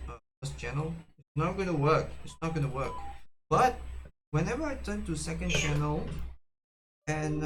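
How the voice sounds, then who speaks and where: Halting man in a noisy recording. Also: a little high. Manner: halting, hesitant delivery with many fillers and self-corrections. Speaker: tutorial presenter. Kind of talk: casual unscripted how-to demonstration.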